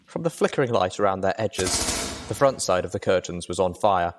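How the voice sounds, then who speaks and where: A man's voice: deep voice, lively intonation, brisk, heavy background noise. A man reading scripted adventure fiction as the narrator.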